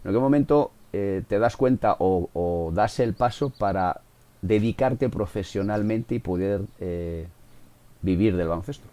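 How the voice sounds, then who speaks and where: A man's voice: fairly low pitch, a little slow, warm tone. A man hosting a podcast interview, asking questions with hesitations and careful rephrasing.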